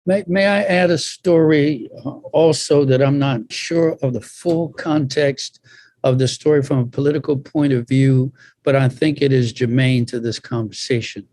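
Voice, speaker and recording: A man in his sixties on a panel, sharing personal recollections in measured, deliberate phrases. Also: mid-range voice, steady tone, a little mellow, dry recording. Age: 60s.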